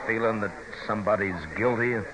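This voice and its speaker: Man playing brooding deep-voiced western lawman. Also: fairly even intonation, a little fast, lightly acted, low-quality recording. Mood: brooding, troubled. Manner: terse, hesitant, understated. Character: brooding, stubborn frontier lawman, adult man. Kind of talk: 1950s radio western acting. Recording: old 1950s radio recording, crowd behind.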